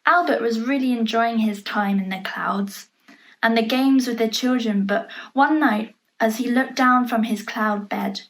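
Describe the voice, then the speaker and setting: A woman reading a children's picture book aloud in an expressive storytelling manner, pausing to point out the pictures. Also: on the high side, rough recording. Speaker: storybook reader.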